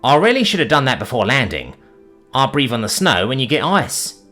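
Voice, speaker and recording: A man's voice: medium pitch, sing-song, a little fast, sharp tone, soft background music, pitched above the performer's own voice. A man voicing a playful, cheeky young male dragon who teases and jokes carefree. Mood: cheerful. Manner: teasing, sarcastic, carefree.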